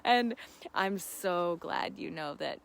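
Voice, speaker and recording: A woman's voice: on the low side, lively intonation, takes it slowly, vocal fry, a bit bright, clean tone. A woman hosting a casual live gardening Q&A, talking in an effusive, affirming, giggly and conversational way. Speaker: gardening host answering live viewer questions.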